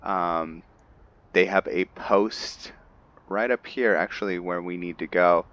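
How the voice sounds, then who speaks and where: Deep-voiced, slow-talking man, gameplay commentary. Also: steady tone, fairly bright tone, lo-fi sound. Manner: hesitant, thinking aloud, chatty to viewers. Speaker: host of a gameplay roleplay series. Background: background music.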